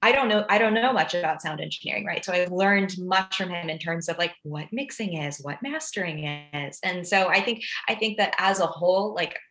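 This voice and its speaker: A woman's voice: fairly low pitch, expressive, fairly quick, hardly any pauses, a bit bright. A woman talking casually as a podcast guest, sprinkling her explanations with "like". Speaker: podcast guest, ghostwriter and audio fiction producer. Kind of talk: casual interview chat.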